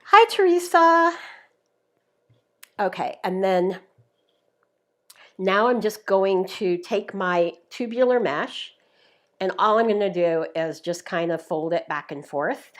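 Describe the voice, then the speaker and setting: A woman's voice: medium pitch, lively intonation, slow, long pauses. A woman hosting a live craft tutorial, explaining each step in a chatty, upbeat way, her voice a little worn. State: slightly losing her voice. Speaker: craft demonstrator, show host.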